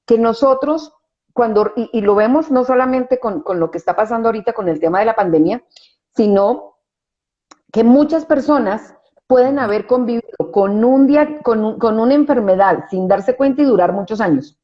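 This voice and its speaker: A woman psychologist answering interview questions in a mellow, warm voice. She restarts her phrases often and keeps checking for agreement. The sound is slightly muffled and lo-fi. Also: fairly high pitch, normal speed. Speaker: psychologist guest.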